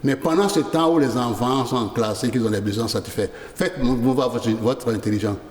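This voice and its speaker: A man's voice: mid-range voice, brisk, a little mellow, roomy sound, big-room sound. A man being interviewed in a studio, arguing insistently and lecturing, with frequent restarts. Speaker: studio guest, sanitation advocate.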